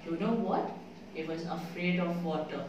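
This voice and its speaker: A woman's voice: lowish voice, normal speed, muffled recording. Echoey, distant woman reading a children's story. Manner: expressive, asks the listener questions. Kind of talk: children's read-aloud storytelling. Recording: very echoey room, distant mic, faint noise.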